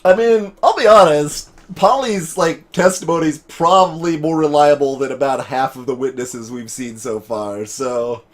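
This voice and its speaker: A man's voice: on the high side, measured pace, hardly any pauses. A man giving casual gaming commentary as a let's play host.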